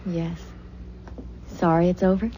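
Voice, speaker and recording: An actress playing a tender, anxious young newlywed wife in a radio drama, soft and earnest. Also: female voice, fairly low pitch, little pitch movement, measured pace, lightly acted. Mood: fond, playful. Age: young adult. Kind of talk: 1970s radio drama acting. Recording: dull, noisy old radio recording.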